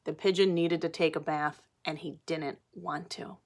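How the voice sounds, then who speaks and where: Animated woman teacher reading aloud. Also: sits low, normal speed. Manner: animated, simple explanations and questions addressed to children. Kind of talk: picture-book read-aloud with asides to children.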